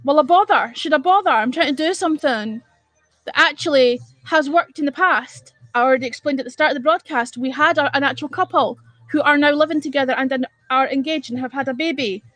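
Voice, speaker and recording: A woman's voice: high-pitched, a little fast, fairly bright tone, no echo. A woman from Edinburgh with a Scottish accent, hosting a casual live stream and chatting bluntly and exasperatedly with viewers, swearing freely. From Scotland. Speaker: livestream host.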